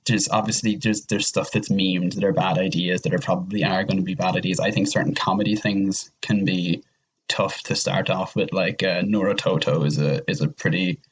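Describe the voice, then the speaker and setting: A man chatting casually in a rambling, halting way, often repeating himself as he works out his point. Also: low voice, steady tone, breathy edge, low-quality recording. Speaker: podcast guest. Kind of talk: casual chat.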